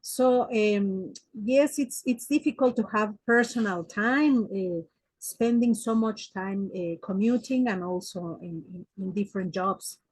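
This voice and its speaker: A woman from Mexico City with a Mexican Spanish accent speaks as a guest psychotherapist, her voice of middle pitch and set in a dry, dead space. She feels her way through English, hesitating with "eh" and repeating words before each point. She sounds like someone in her forties. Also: a little slow, a little mellow, husky, no room sound, poor audio. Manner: hesitant, many "eh" fillers and restarts. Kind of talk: interview answers.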